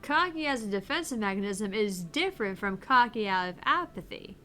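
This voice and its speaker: A woman's voice: mid-range voice, lively intonation, brisk, pushed and bright, a little hiss or hum. A woman voicing a stressed but cocky young female tournament gamer, reading her lines with defensive bravado. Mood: self-assured.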